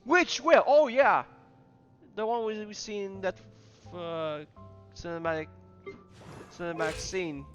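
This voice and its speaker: A man giving casual gameplay commentary in a halting, rambling way, often repeating his phrases. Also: high-pitched, a bit bright, dull audio, soft background music. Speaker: gamer commenting on his own play.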